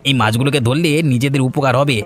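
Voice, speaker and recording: A man voicing a fast-talking cartoon villager. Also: medium pitch, fairly even intonation, soft background music, close to an everyday voice. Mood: eager. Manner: chatty, eager, quick. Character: friendly village man, eager and helpful. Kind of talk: cartoon voice acting.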